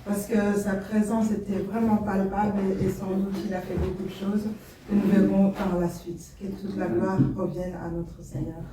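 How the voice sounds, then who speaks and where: A woman's voice: mid-range voice, level tone, normal speed. A woman greeting a congregation and thanking God, speaking with hesitant fillers. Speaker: guest speaker. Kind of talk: church greeting. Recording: echoey room, distant mic, background noise.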